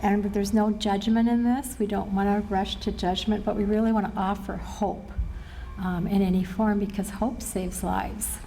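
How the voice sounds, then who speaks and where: A middle-aged woman with an American accent giving an earnest, measured training presentation to a group as a presenter. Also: medium pitch, flat delivery, gravelly, mellow, slight background noise, low-quality recording. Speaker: suicide prevention trainer and presenter. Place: presentation room at a care facility.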